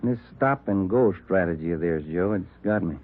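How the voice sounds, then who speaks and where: An American actor playing a laconic, wry police detective in a 1940s radio drama, talking in a casual, understated way. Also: male voice, low voice, lively intonation, normal speed. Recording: narrow-band old radio recording.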